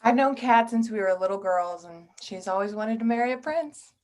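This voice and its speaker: A woman's voice: fairly high pitch, lively intonation, normal speed, lightly acted. A woman playing a candid maid of honor, the bride's old friend, in a comedy table read.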